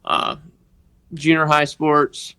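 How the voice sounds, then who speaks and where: A man with a Mississippi accent, telling a personal story on a podcast in a halting, earnest way. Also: a little high, fairly even intonation, bright, forceful tone, clear, resonant tone. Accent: Southern US, Mississippi. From northeast Mississippi, United States. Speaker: podcast guest, organization member telling his story.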